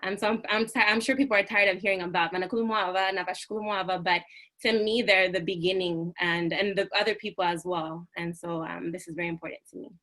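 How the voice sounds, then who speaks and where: A young woman from the United States gives a prepared talk over a video call. Her voice is bright and clear, and her delivery is earnest and reflective, the way a lecturer speaks to a class. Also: fairly low pitch, steady tone, fast. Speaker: panel speaker.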